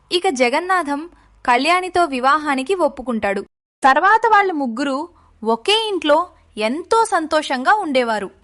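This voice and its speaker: A woman's voice: high voice, fairly bright tone. A woman reading a scripted children's moral story as its narrator.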